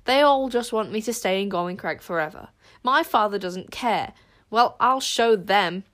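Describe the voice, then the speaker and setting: A woman's voice: medium pitch, lively intonation, quick pace, lightly acted. A woman voicing a moody young boy wizard in a storybook, talking sulkily and with determination. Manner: sulky, determined.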